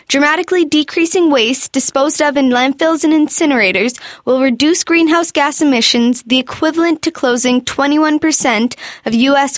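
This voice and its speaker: A woman's voice: high-pitched, no echo, studio quality. A woman hosting and interviewing on a community radio show.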